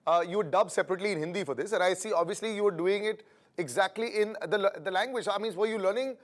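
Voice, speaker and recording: Middle-aged guy interviewer, pretty high voice, rambly chatty questions. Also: fast talker. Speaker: entertainment interviewer.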